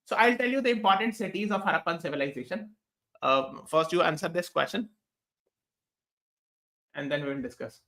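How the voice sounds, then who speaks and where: A man's voice: fairly high pitch, fairly quick, pauses often, sharp tone. A man with an Indian accent teaching a live online exam class, switching between English and Hindi, repeating himself and checking that students follow. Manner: repeats phrases and checks understanding often. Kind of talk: live lesson, explaining quiz answers to students.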